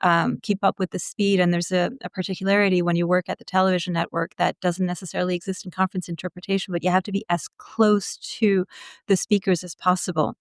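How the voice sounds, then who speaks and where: A woman's voice: lowish voice, flat delivery, fast, no room sound. Candid woman, dry close sound. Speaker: podcast guest, interpreter. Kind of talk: casual interview storytelling.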